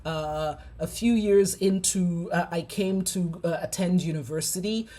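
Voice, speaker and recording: A woman in her forties from Zimbabwe, a clinical psychologist telling her personal story with many pauses and fillers. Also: fairly low pitch, mellow. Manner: reflective, many ums and uhs.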